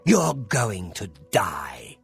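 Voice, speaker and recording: A man's voice: low-pitched, wildly swooping pitch, extremely slow, gravelly, faint music behind, exaggerated voice. A man playing a spiteful prisoner in a video game, taunting a fellow inmate in a mocking, theatrical sneer. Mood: menacing taunt. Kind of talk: video game character acting.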